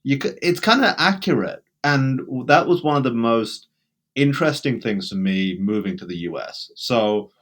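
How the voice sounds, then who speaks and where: A man's voice: medium pitch, lively intonation, moderate pace, a bit bright. A man with a British accent, talking emphatically as a guest in an interview, in bursts with restarts. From England. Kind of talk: conversational interview.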